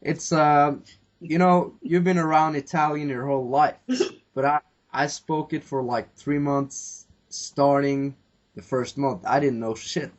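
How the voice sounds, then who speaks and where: A man's voice: a little high, fairly even intonation, unhurried. A man hosting a casual podcast, rambling through his plans with lots of fillers and swearing. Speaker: podcast host. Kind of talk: casual chat.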